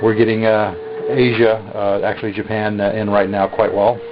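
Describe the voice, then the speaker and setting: Halting low-voiced man, dull recording. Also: level tone, heavy background noise. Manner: halting, many "uh" fillers. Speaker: radio seller demonstrating a receiver. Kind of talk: casual product demo.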